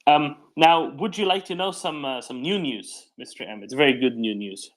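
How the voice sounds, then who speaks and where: A man's voice: medium pitch, normal speed. A man hosting a live news discussion, explaining developments off the cuff and often checking in with a "right?". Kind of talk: unscripted news commentary and discussion.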